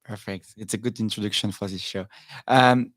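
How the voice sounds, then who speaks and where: A man hosting a podcast interview, friendly and halting, with many fillers. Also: on the low side, fairly even intonation, a bit bright, no room sound. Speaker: podcast host.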